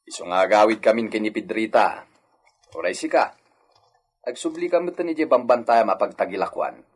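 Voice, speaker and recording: A man's voice: lowish voice, expressive, brisk, breathy edge. A man acting a kindly, grateful male household worker in an Ilocano radio drama. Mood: matter-of-fact.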